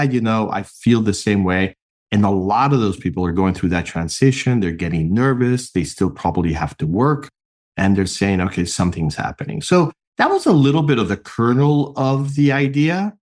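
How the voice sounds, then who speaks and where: A middle-aged man from Mexico, a podcast guest and founder, explaining his work in an animated, earnest way with a few restarts. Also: medium pitch, moderate pace. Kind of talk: conversational interview answers.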